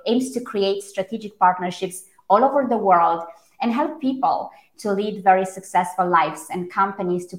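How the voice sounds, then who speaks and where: A woman from Romania with a Romanian accent, a native Romanian speaker, giving articulate, emphatic interview answers about her own work. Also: on the low side. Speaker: interview guest, brand strategist. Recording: echoey room.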